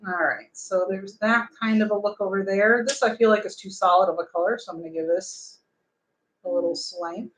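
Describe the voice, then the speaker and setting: Woman, painting host, casual demonstration, mid pitch, chatty explanations. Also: brisk, a little hiss or hum, rough recording. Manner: relaxed, explains technique while working, hums.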